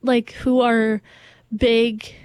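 A woman's voice: a little high, level tone, very slow, darkish tone, clear, resonant tone, no room sound. A young woman from Brazil, a native Portuguese speaker, hosting a music radio show in casual, enthusiastic, rambling chat full of "like". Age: young adult. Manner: enthusiastic, rambling, filler-heavy with frequent "like".

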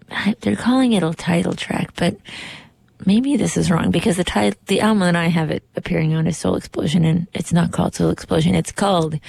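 A hoarse woman hosting a radio show from the studio, chatting in an upbeat, rambling way and correcting herself as she goes. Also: on the low side, a little mellow, dry recording. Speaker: radio host. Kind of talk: casual radio show chat. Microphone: studio microphone.

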